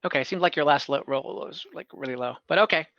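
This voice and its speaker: A man running an online tabletop game as dungeon master, checking rules quickly and laughing easily. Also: on the high side, creaky, no echo, lo-fi sound.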